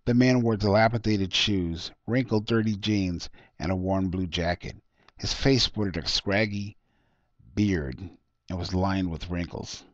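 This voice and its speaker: A man's voice: low-pitched, measured pace, no room sound. A man reading his own short story aloud as scripted narration. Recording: dull lo-fi close recording.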